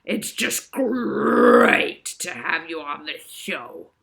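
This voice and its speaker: A woman voicing a gushing male TV chat-show host with a very deep, gravelly, booming voice in an audiobook. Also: sing-song, fairly bright tone, full character voice. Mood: effusive. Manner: booming, drawn-out showman delivery.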